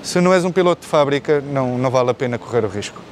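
A man's voice: medium pitch, animated, very fast, slight background noise. A man in his forties from Portugal with a Portuguese accent, answering interview questions outdoors in an earnest way, restarting and repeating words as he searches for phrases. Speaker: rally rider guest. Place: outdoor courtyard with artificial grass.

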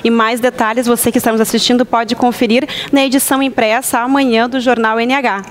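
Woman, 30s, news anchor, slightly high voice, steady scripted read, newsroom. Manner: steady scripted delivery, brisk questions. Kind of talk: live news presenting. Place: open-plan newsroom. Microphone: headset mic.

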